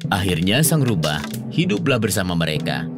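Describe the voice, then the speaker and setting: A man's voice: low-pitched, very animated, normal speed. A man narrating a scripted children's story as the storyteller. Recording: music behind the voice. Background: music.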